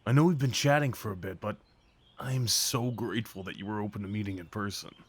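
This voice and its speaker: A man's voice: on the low side, fast, natural voice. A young man acting a shy, sweet, apologetic date in an ASMR roleplay, talking hesitantly to the listener. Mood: shy, nervous. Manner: hesitant, apologetic, rambling, sighs and nervous chuckles.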